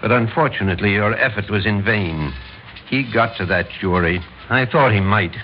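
A man's voice: fairly low pitch, normal speed, slight background noise, close to an everyday voice. Man acting old American Major, dull radio recording. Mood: consoling, regretful. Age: older. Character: proud, needling old Major, a domineering father-in-law.

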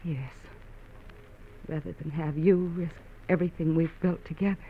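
Devoted pleading wife, tinny old radio. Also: female voice, low-pitched, fairly even intonation, moderate pace. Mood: resolute, tender. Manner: earnest, emotional, insistent. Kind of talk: 1940s radio drama acting. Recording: thin, phone-like, noisy old radio recording.

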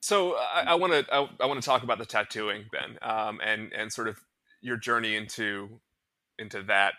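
Bright-voiced male podcast host. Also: lowish voice, no echo. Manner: halting, searching questions. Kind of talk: casual interview chat.

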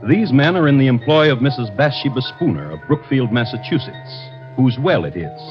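A man hosting an old radio crime show, reading scripted narration in a deliberate, dramatic, formal manner. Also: medium pitch, animated. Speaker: host and narrator. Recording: dull vintage radio recording. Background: music.

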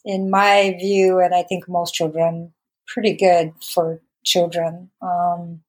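A woman's voice: lowish voice, little pitch movement, slow, darkish tone, poor audio. Reflective woman. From Bulgaria. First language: Bulgarian. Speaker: interview guest, documentary filmmaker. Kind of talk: conversational personal recollection.

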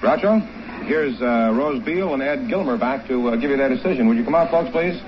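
An old radio recording, dull and noisy, of a man working as a quiz announcer. He speaks quickly and formally at a medium pitch. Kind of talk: formal live show announcing.

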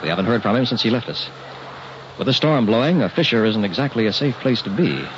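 An actor playing a cautious, uneasy scientist's associate in an old-time radio drama, speaking in wary, clipped lines. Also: male voice, on the low side, lively intonation, quick pace, lightly acted. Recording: muffled, noisy vintage radio recording.

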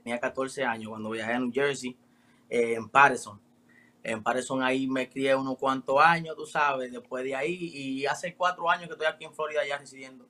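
A man in his twenties from the Dominican Republic with a Dominican accent, a rapper answering interview questions in relaxed slang. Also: mid-range voice, fairly quick. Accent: Dominican Spanish. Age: 20s. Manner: relaxed, street slang with frequent "me entiendes" tags. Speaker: rapper guest.

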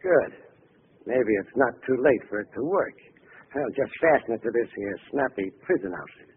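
A man's voice: mid-range voice, animated, normal speed, slight background noise, close to an everyday voice. A man playing a defiant gangster, tinny old radio. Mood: sardonic. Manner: slangy tough-guy talk. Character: defiant vengeful American gangster facing execution. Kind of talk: 1940s radio acting.

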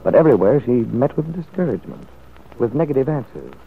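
A man's voice: mid-range voice, very animated, quick pace. A man narrating an old-time radio soap opera in a scripted storytelling style. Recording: old muffled radio recording.

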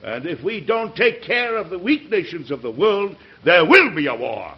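An actor playing a weary, idealistic American President with emphatic oratory and deliberate pauses, in an old radio drama. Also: male voice, high-pitched, sing-song, loud music behind the voice. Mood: impassioned. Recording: dull, hissy old radio recording.

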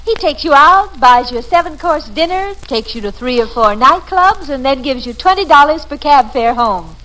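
Flirtatious 1940s radio secretary. High-pitched, lively, coy and teasing. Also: female voice, normal speed, low-quality recording. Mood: gushing. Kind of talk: 1940s radio comedy acting.

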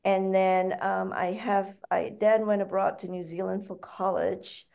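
A woman with a Malaysian accent speaks conversationally as an interview guest. Her mid-pitched voice has a clearly creaky, gravelly edge. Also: flat delivery, a little slow, a little mellow. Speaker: podcast guest, author and food company founder.

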